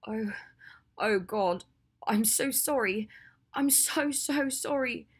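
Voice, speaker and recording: A woman's voice: a little high, measured pace, mellow, slightly rough, pitched above the performer's own voice. A woman voicing a fierce, devastated young woman in an audiobook, protective and full of loathing. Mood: grieving, distraught. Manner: shaking with rage, cold when accusing. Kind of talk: audiobook character acting.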